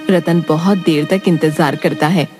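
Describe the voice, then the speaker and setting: A woman's voice: low voice, steady tone, unhurried, a little mellow. A woman narrating a scripted children's cartoon story in Hindi. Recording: music behind the voice. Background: background music.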